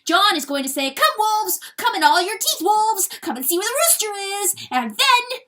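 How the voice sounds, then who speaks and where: A woman's voice: sits high, sing-song, normal speed, a bit bright, pitched above the performer's own voice. A woman voicing an agitated, stubborn male weasel in a storybook, blustering and repeating himself in broken grammar. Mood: roaring despair. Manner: blustering, repetitive, third-person broken speech.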